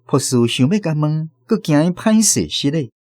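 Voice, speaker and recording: Lively Taiwanese male storyteller. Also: on the high side, normal speed, dry recording, clean studio sound. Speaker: narrator. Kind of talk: scripted storytelling narration.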